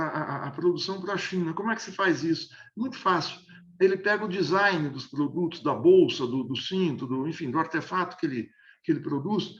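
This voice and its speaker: A man's voice: high voice, roomy sound. A man in his sixties from the interior of São state, explaining at length as an interview guest, hesitant with many repeated restarts. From interior of São Paulo state, Brazil. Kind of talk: explanatory conversational analysis. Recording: dull lo-fi audio.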